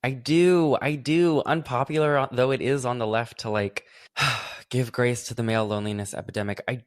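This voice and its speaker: A man's voice: mid-range voice, fairly even intonation, normal speed. A man on a podcast, hesitant and choosing his words carefully. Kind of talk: casual podcast chat.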